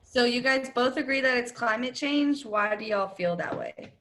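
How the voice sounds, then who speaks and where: A woman's voice: on the high side, normal speed. A young woman moderating a focus group over a video call, laying out rules and asking questions in an organized way. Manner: organized, reads rules aloud in a steady tone.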